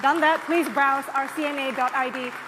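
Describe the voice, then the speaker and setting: A woman's voice: sits high, fairly even intonation, normal speed, background noise. Polished woman emcee in a big hall. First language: Indonesian. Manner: polished, announcing guests. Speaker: event emcee. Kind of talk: formal stage hosting. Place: large conference hall. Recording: hall reverb, music and crowd.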